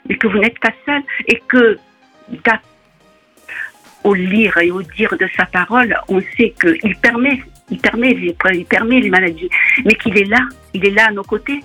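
An older woman from Provence with a singing Provençal accent, calling in to a radio show and speaking earnestly, with halting repeats. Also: medium pitch, pushed and bright, husky, faint music behind. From Provence, southern France. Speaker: caller. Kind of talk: phone-in testimony.

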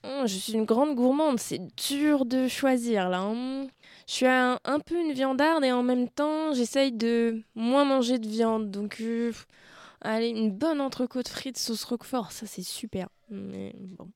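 A young woman in her late twenties answers questions on a children's radio show, playful and hesitant, often pausing to think. Her voice is smooth and clear, a little higher than most. It is recorded dry and close, with no room sound. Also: a little mellow, dry recording. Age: 20s. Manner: hesitant, playful, lots of hmms. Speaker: interviewee, youth center activity leader. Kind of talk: casual radio interview. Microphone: close studio mic.

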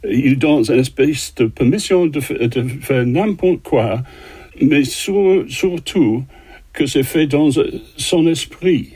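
Older man, husky, halting French. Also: on the high side, lively intonation, dark tone, dry recording. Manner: halting, many fillers and restarts. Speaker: composer guest. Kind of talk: interview answers in a second language.

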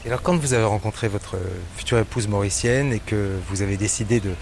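Male interviewer, brisk pace, prompting questions, faint traffic noise. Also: fairly low pitch. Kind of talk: conversational interview.

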